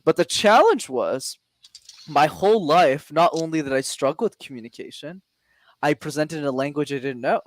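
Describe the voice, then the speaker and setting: A man's voice: on the high side, lively intonation, normal speed. A man from Quebec being interviewed as a speaking coach, telling his story earnestly. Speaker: guest, public speaking coach. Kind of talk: casual interview.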